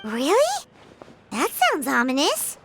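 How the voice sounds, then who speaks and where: A woman's voice: high voice, wildly swooping pitch, takes it slowly, music in the background, heavily acted. A woman voicing a small childlike fairy companion in a video game, chirpy, eager and cheeky. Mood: curious.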